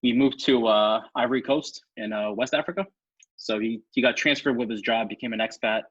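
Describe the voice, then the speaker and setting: Fast-talking man on a dull dry mic. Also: medium pitch, fairly even intonation, slightly rough, dry recording. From Ethiopia. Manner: fast, fluent talker with many fillers and restarts. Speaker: podcast guest. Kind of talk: casual interview chat.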